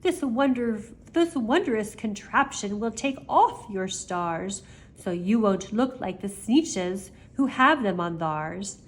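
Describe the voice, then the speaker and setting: A woman voicing a brash, smooth-talking male salesman in a storybook, with emphatic, showy patter. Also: medium pitch, lively intonation, slow pace. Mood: sly, reassuring.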